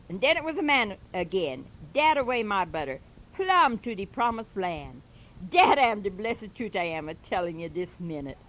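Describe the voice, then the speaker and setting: A woman's voice: medium pitch, a bit bright, poor audio. A fervent old-time male preacher drawls through a parable in heavy dialect, as a woman puts him on. He exhorts his flock and keeps circling back with repetitive asides, in the manner of a comic sermon recitation.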